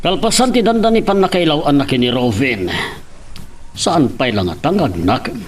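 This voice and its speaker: A man's voice: on the high side, very animated, moderate pace, a little hiss or hum. A man playing a stern, protective middle-aged father in an Ilocano radio drama, with a Filipino Ilocano accent. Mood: firm, insistent.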